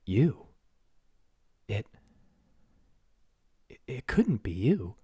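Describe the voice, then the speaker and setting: A man voicing a young giant princess who is proud and quick-tempered, his voice pushed up high as she stammers in indignation. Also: darkish tone, breathy edge, no echo, clean studio sound, exaggerated voice. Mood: stunned. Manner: pitched far up, stammering, indignant.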